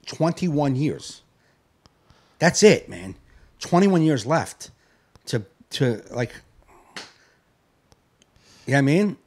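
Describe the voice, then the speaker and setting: A man's voice: mid-range voice, big pitch swings, slow, pauses often, darkish tone. A man in his late forties, a podcast host, talking in a rambling, profane, reflective way. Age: 40s. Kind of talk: casual chat.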